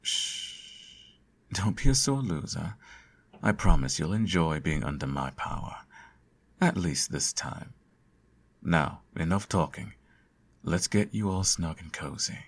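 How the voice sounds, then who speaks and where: A man playing a teasing, doting supervillain in an intimate ASMR roleplay, suave and coaxing, with a gravelly, husky voice. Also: low voice, animated, takes it slowly, long pauses, full character voice. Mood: caring. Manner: suave, theatrical, coaxing, uses pet names. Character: teasing, doting male supervillain nursing his hero nemesis.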